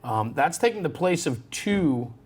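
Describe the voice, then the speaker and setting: Man interviewer in relaxed conversation. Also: fairly high pitch, unhurried, husky. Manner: prompts with "right?" and recaps. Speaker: interviewer host. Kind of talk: conversational interview about firefighting.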